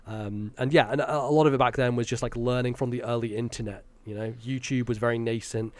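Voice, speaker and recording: A man's voice: mid-range voice, fairly even intonation, fast talker, no room sound. A British man, around thirty, chatting casually and enthusiastically as an interview guest, explaining things in a conversational way. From Britain. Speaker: podcast guest, content creator.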